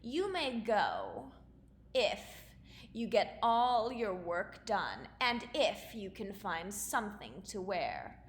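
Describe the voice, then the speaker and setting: A woman voicing a cruel, commanding stepmother in a storybook read-aloud, cold, measured and imperious. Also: mid-range voice, huge pitch swings. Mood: cold, grudging. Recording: roomy echo, faint background noise.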